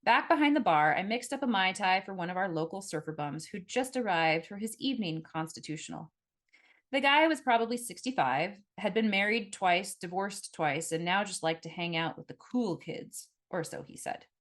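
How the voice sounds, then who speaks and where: A woman reading her own first-person novel aloud as a scripted narration, with expressive, dramatic storytelling. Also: medium pitch, moderate pace.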